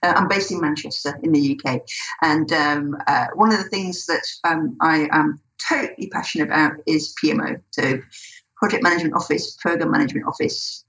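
A woman's voice: low-pitched, brisk, fairly bright tone, muffled recording. A woman, a guest talking in a chatty, hesitant way with many ums over a remote line. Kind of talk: casual interview talk.

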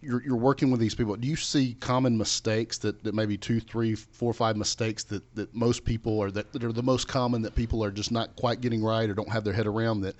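A man's voice: lowish voice, fairly even intonation, a little fast, hardly any pauses, a little mellow. A man hosting a conversational local business radio interview in an informal, bantering way. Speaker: radio show host.

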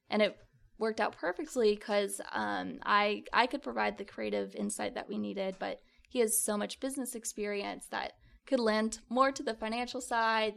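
A woman's voice: mid-range voice, creaky, a bit bright. A young woman, a student founder, answering interview questions in an upbeat way with many fillers. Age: young adult.

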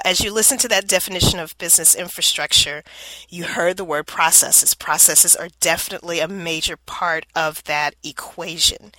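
A woman's voice: on the low side, sharp tone, no room sound, poor audio. A woman from Louisiana hosting her solo podcast in an upbeat, explanatory way, a little nasal and congested from allergies. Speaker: podcast host.

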